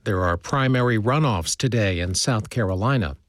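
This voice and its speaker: A man's voice: lowish voice, normal speed, no room sound, clean studio sound. A man reading a scripted radio newscast.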